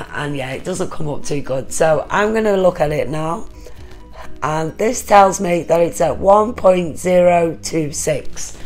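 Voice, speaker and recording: A hoarse woman with a cold-roughened voice speaks in a low register. She chats casually and explains each step as she goes. Faint music plays in the background. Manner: chatty, explains step by step while counting drops. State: hoarse, losing her voice with a cold. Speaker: aquarium hobbyist vlogger. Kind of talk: casual tutorial demonstration.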